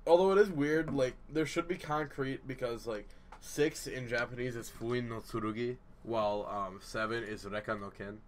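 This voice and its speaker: A man from Serbia speaks as one of a podcast panel. His voice is of average pitch, and he talks in an informal, conversational way. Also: normal speed, a little hiss or hum. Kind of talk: casual group discussion.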